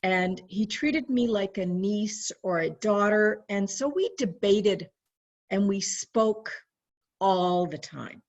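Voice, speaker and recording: A woman raised in Canada telling personal stories in a chatty way, with lively quoted voices and frequent restarts. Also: mid-range voice, slow pace. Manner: chatty, animated when quoting, frequent restarts. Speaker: webinar guest. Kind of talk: casual storytelling recollection. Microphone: video call. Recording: dull lo-fi video call audio.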